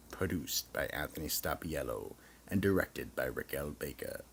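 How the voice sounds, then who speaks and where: A man narrating scripted horror as storyteller and announcer, slow, theatrical and ominous. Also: rumbling low, creaky, a bit bright, slight background noise.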